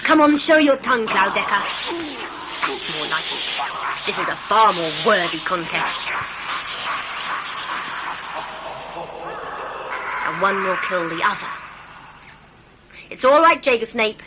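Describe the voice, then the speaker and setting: A woman's voice: medium pitch, very animated, measured pace, pauses often, heavy background noise. A woman playing a wilful, yearning young huntress in a sci-fi audio play, impassioned and theatrical. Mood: commanding, playful.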